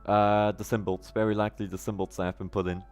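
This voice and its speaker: A man's voice: on the low side, level tone, fast talker, clear, resonant tone, faint music behind. A man whose first language is German, live-streaming a game with casual commentary and chatting to viewers in wordy, oddly formal phrases.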